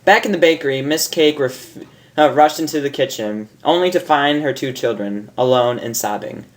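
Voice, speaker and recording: A man's voice: medium pitch, normal speed. A man narrating a story as an amateur host, informal, stumbling over lines and correcting himself. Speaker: fan fiction reader and host.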